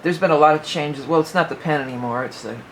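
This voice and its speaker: A woman's voice: deep voice, fast talker, slight background noise, poor audio. A woman giving a casual spoken astrology forecast as a psychic reader, rambling and thinking aloud with many fillers, her voice a little worn.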